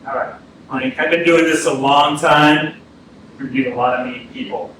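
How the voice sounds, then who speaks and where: An actor playing a blunt, seasoned police detective in a staged reading, speaking sternly and deliberately with some swearing. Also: male voice, medium pitch, steady tone, lightly acted. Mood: stern, threatening. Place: indoor arts centre stage, staged reading. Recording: echoey theatre from far off, noisy.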